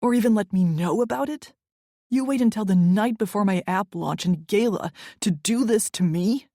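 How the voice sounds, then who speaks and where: A woman's voice: on the low side, animated, unhurried, a little mellow, slightly rough, no room sound, studio quality, full character voice. A woman voicing an angry young man who sounds cold and clipped and talks through clenched teeth. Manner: clipped, seething.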